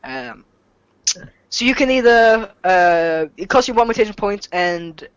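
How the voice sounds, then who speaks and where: A native Swedish speaker running a tabletop game as game master, explaining rules off the cuff in a halting, self-deprecating, jokey way. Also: mid-range voice, lively intonation, clear, resonant tone, no room sound, lo-fi sound.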